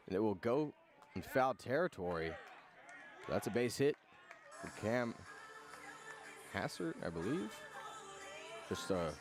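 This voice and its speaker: Male sportscaster for live baseball radio, mid-range voice, clear music bed underneath. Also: on the low side. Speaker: radio play-by-play announcer. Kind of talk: live baseball play-by-play commentary. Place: outdoors at a baseball park.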